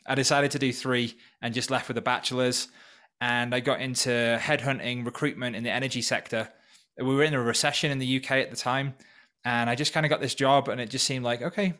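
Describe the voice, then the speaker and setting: A man's voice: mid-range voice, fairly even intonation, a little fast, a bit bright. Earnest man from North Wales. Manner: earnest, reflective, open about hard past. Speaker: podcast guest, healer. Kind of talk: personal story in a podcast interview. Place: temporary remote location.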